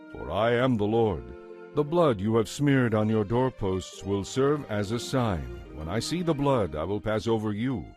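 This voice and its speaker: Solemn male scripture narrator over soft music. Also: lowish voice. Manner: measured, formal, authoritative. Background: background music.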